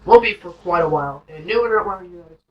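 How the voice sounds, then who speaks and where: A woman's voice: fairly low pitch, expressive, very fast, lo-fi sound. A woman giving casual, rambling, unscripted commentary as host of a gameplay video. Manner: rambling, informal.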